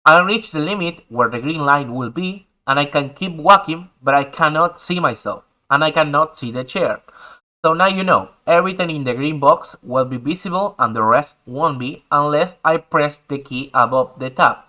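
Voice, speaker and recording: A man's voice: fairly high pitch, normal speed, bright, forceful tone, poor audio. A young man whose first language is Spanish, patiently explaining a game map editor step by step in English. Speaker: tutorial host. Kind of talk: screen-recorded game tutorial.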